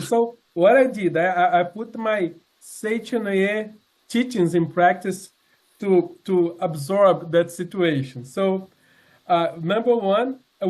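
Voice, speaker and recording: Man, 60s, high voice, earnest testimony, halting, lots of fillers and restarts. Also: darkish tone. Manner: earnest, sincere. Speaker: member giving a testimony. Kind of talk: personal testimony talk with slides.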